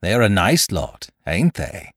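A man acts out a sly, menacing pirate sea cook from an adventure storybook. His rustic sailor talk swings dramatically in pitch and takes its time, full of contempt and command. The sound is close and dry. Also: very animated, slow, a bit bright, no room sound, exaggerated voice. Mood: scornful, bitter. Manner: rustic old-time sailor speech with theatrical emphasis. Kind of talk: dramatised audiobook reading of a classic adventure story.

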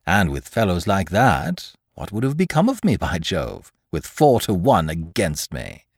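A suave, theatrical gentleman thief who mocks his foes with jaunty, patronizing courtesy, as a male narrator puts him on. His voice is lively and a little raised, and swoops about freely. The read is dry and close. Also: low voice, a little slow, slightly rough, no echo, exaggerated voice. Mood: mocking. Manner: jaunty, mocking, grandly courteous.

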